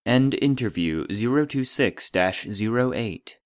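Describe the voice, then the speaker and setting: A man's voice: medium pitch, animated, measured pace, no echo, clean studio sound, close to an everyday voice. A man voicing a classified database, reading scripted entries in a formal, clinical and impersonal manner. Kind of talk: scripted narration.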